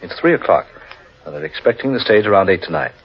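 Husky, low-voiced gentleman. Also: expressive, quick pace, heavily acted. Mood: genial. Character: eager, intrigued English gentleman fond of eerie tales. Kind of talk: 1940s radio drama acting. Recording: muffled, grainy 1940s radio recording.

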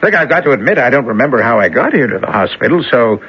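A man's voice: mid-range voice, big pitch swings, quick pace, breathy edge. An actor playing a young man who talks in an easygoing, puzzled way as a patient. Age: young adult. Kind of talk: 1970s radio drama acting. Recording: dull lo-fi vintage radio recording.

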